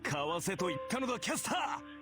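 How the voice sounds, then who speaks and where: A man's voice: high voice, very animated, very fast, bright, forceful tone, faint music behind, heavily acted. A man playing an irritated, cynical, commanding archer knight in a Japanese visual novel, curt and contemptuous.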